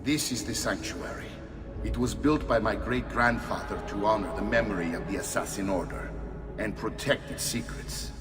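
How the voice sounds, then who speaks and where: Man doing an older italian uncle assassin, low solemn storyteller voice, italian accent, bit far off in a big hall. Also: normal speed, over music, natural voice. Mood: solemn, proud. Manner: weighty, storytelling, paternal.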